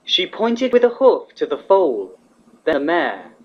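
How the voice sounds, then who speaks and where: Woman narrator, animated intonation, scripted fan fiction storytelling, slightly dull recording. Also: lowish voice, unhurried. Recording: slightly dull, muffled audio.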